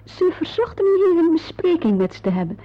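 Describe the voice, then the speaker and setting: Officious, formal receptionist for radio play; very high, lively pitch, dull old recording. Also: female voice, a little fast. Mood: formal, questioning. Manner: formal, questioning, echoes the caller's words. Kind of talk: radio drama acting.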